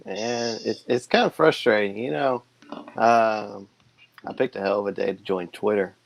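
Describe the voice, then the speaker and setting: A man co-hosting a casual sports podcast, speaking in a relaxed, drawn-out way. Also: lowish voice, creaky. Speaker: podcast co-host.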